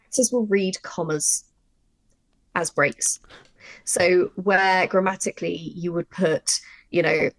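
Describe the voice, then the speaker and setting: A woman's voice: fairly low pitch, fairly even intonation, slow, long pauses, low-quality recording. A woman talking informally and emphatically in a writing workshop, explaining with examples as a writer. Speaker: audio drama writer leading a workshop. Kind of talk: conversational workshop discussion.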